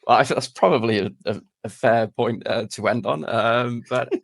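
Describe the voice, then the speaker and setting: Bloke interviewing, low gravelly creaky voice, really dry close sound, chatty. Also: male voice, normal speed, no echo. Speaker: host, interviewer. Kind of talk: casual interview chat.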